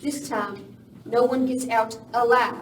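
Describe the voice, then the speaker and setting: A woman's voice: a little high, steady tone, slow. A woman playing a tough, ruthless boss of Western outlaws in a radio play, commanding and curt. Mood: stern, commanding. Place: live performance in a village venue. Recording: echoey hall, distant mic, faint noise.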